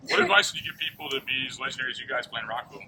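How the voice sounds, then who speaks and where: Male festival interviewer from Missouri, rapid-fire and slangy, mid-pitched, recorded outdoors with heavy background noise. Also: huge pitch swings, sharp tone, poor audio. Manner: loose, slangy, filler-heavy questions. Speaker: host and interviewer. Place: outdoor music festival grounds.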